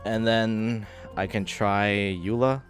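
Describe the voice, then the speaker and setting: A man's voice: on the low side, level tone, slow, soft background music. A man talking casually as he streams a video game, commenting live on what he is doing.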